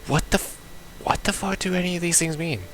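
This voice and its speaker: A man's voice: sits high, brisk, fairly bright tone, a little hiss or hum. A man giving casual, baffled, sweary commentary as he plays through a visual novel. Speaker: gamer playing a visual novel. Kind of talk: casual playthrough commentary, reading game text aloud.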